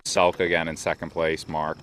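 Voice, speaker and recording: A man hosting a live bike race broadcast outdoors, chatting in a relaxed, halting way with many fillers. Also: very deep voice, a little hiss or hum. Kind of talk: live trackside chat.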